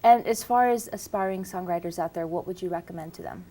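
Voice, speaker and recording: Cheerful woman hosting morning TV. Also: on the low side. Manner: bright, cheerful, with brisk prompts. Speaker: TV morning show host. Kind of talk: upbeat morning TV interview. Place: TV studio.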